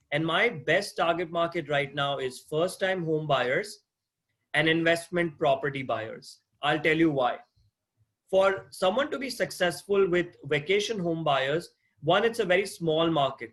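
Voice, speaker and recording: A man in his thirties with a non-native English accent lectures an online class on a video call. His voice sits a little high, and his talk stays conversational. He often restarts phrases and puts questions to the students. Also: fairly bright tone. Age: 30s. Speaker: guest lecturer, mortgage agent.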